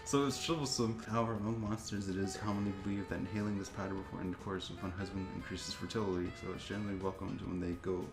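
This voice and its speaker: A man's voice: low-pitched, fairly even intonation, fast, hardly any pauses. A young man reading aloud casually and adding joking commentary. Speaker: co-host reading wiki entries aloud. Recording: roomy echo with music behind.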